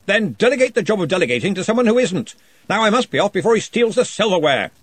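A man voicing a loud, brusque old wizard chief in a storybook reading, bellowing and blustering. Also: high voice, big pitch swings, fast, breathy edge, no echo, pitched above the performer's own voice, exaggerated voice.

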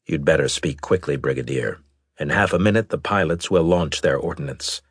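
A very low, husky admiral , as a male narrator plays him, big, gruff and commanding. He speaks deliberately and skeptically, like a senior officer questioning a subordinate, in a dry audiobook recording. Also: dry recording. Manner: deliberate, authoritative, probing. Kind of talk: audiobook character dialogue.

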